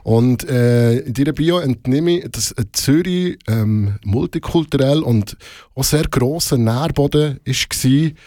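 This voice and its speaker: A man hosts a relaxed radio show from a studio. He talks at a mid pitch, stops often on little fillers, and drops in English phrases along the way. His voice sounds close and dry, with no room sound around it. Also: measured pace, dry recording. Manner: hesitant, many fillers, mixes English phrases. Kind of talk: casual radio interview.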